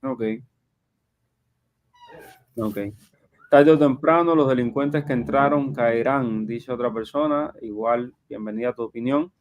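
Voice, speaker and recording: Male live Q&A host, medium pitch, conversational, reads viewer questions out loud. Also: unhurried, long pauses, dull audio. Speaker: live show host and journalist. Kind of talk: live Q&A, reading audience questions aloud.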